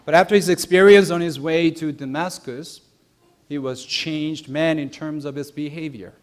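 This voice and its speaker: Higher-pitched young male preacher. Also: fairly even intonation, moderate pace. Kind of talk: sermon. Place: church sanctuary.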